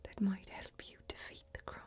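A young woman performing a fantasy ASMR role-play as a solemn warrior, speaking slowly and deliberately in a hushed, intimate way. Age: young adult. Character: female fantasy warrior, solemn, earnest, mysterious. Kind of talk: soft-spoken whispered ASMR role-play. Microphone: close microphone.

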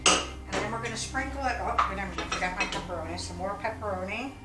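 A woman's voice: mid-range voice, quick pace. Chatty older woman cooking in a roomy kitchen. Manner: chatty, self-correcting, talks while working with her hands. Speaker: home cooking vlogger. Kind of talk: casual cooking demonstration. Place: home kitchen, indoors. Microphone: far camera. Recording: roomy kitchen sound, mic at a distance, music behind.

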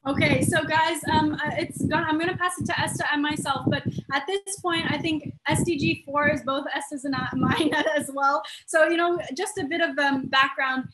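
A woman's voice: sits high, steady tone, moderate pace, few pauses, background noise. A nineteen-year-old woman hosting a youth group video call, chatty and upbeat, laughing often. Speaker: host, youth group founder. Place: small room indoors. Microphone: laptop webcam, video call.

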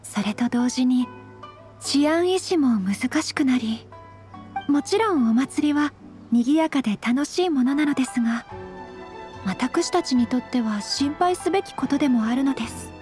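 Anime game role: earnest young noblewoman, high voice, modest, formal delivery, music underneath. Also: lively intonation. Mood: composed, slightly flustered. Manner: formal, honorific, gently measured. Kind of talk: Japanese anime game voice acting.

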